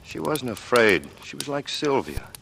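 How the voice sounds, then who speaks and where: A man's voice: mid-range voice, very animated, normal speed, gravelly, fairly bright tone. An actor playing a grim, worried man bent on vengeance, in a 1940s radio drama. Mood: anguished, bitter. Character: grim, worried male friend bent on avenging murders. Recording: noisy old radio broadcast recording.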